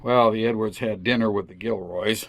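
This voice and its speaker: A man's voice: fairly low pitch, steady tone, moderate pace, gravelly, dry recording. A man playing an earnest, stubborn and easily flustered suburban father in an old-time radio family comedy. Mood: defensive. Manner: earnest, defensive.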